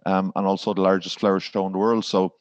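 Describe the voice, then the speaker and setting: A man's voice: low voice, level tone, fast, no echo, muffled recording. An Irish man, a garden designer, talking in a podcast interview and rambling with fillers and restarts. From Ireland.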